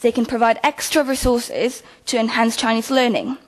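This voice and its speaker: Young woman from Hong Kong, higher voice, measured formal delivery, committee hearing. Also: little pitch movement, low-quality recording. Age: young adult. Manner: measured, formal, reads from notes. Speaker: student deputation speaker. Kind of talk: prepared testimony at a hearing. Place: legislative committee meeting room.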